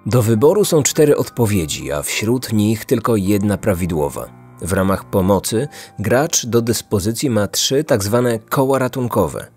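A man's voice: on the low side, expressive, a little slow, darkish tone, breathy edge. A man from Poland in his thirties narrating a scripted story as a podcast host. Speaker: true crime podcast host. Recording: music bed behind the voice. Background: background music.

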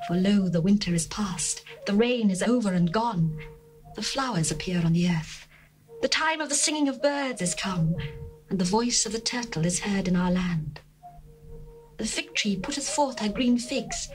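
A woman voicing a lovesick young bride longing for her beloved, in a dramatized scripture reading, tender and lyrical with hushed passages. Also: medium pitch, natural voice, low-quality recording. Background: faint music.

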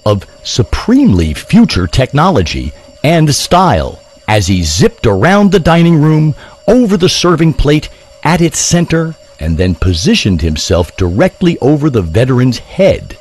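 A man's voice: mid-range voice, very animated, a little slow, darkish tone, breathy edge, soft background music. A man narrating a scripted comic story in a theatrical audio drama style.

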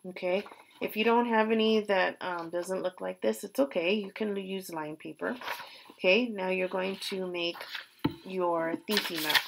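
Woman, middle-aged, elementary teacher; unhurried, soothing delivery, low and a bit gravelly, home webcam recording. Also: noisy background. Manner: slow, soothing, with frequent "okay?" check-ins. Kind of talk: guided visualization for young students. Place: small bedroom at home, indoors. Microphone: laptop webcam mic.

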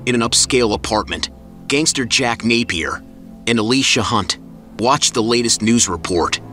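A man narrating an audio drama in a measured, suspenseful scripted style. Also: mid-range voice. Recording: loud music and effects behind.

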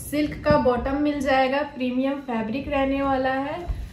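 Woman, high voice, shop sales presenter, promotional patter, echoey room, faint background noise. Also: normal speed. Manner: steady promotional patter addressing viewers. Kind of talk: sales pitch showing suits.

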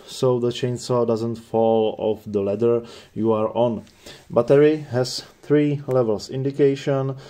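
A man's voice: mid-range voice, warm tone. A man narrating a scripted product review in a matter-of-fact way.

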